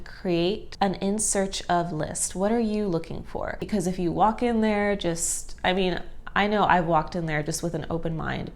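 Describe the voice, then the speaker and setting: A woman in her twenties with a nasal, stuffed-up voice from allergies, chatting casually to camera and giving tips. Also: on the low side. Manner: chatty, friendly, many fillers like "like" and "so". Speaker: thrifting vlogger. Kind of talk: casual vlog talk to camera.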